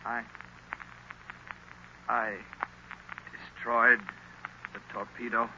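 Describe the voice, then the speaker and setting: An actor in an old-time radio drama playing a distressed young lieutenant under a hypnotic spell, halting and stammering. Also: male voice, medium pitch, little pitch movement, pushed and bright, exaggerated voice. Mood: dazed, broken. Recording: noisy, narrow-band vintage radio recording.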